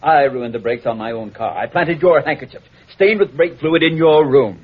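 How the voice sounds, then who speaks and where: An actor playing a cold, calculating villain in a vintage radio melodrama, smug and menacing in his deliberate delivery, with a husky voice. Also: mid-range voice, close to an everyday voice. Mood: smug menace. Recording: muffled vintage radio recording.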